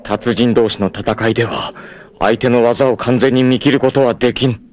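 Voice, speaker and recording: A man's voice: medium pitch, steady tone, moderate pace, darkish tone, lo-fi sound, slight background noise, lightly acted. An actor voicing a proud, fierce young male martial arts warrior in a 1980s anime, with a grave, intense and dramatic delivery. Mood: tense.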